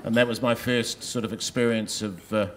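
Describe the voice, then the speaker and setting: A man's voice: lowish voice, fairly even intonation, normal speed. A man on stage at an awards dinner, bantering and joking into a handheld microphone in a large hall. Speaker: awards show host.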